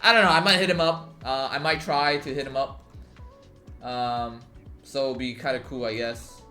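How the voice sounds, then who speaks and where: A man's voice: medium pitch, fairly even intonation, moderate pace, bright, forceful tone, soft background music. A young man chatting casually on a live stream, rambling with many fillers and swearing freely. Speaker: livestreamer.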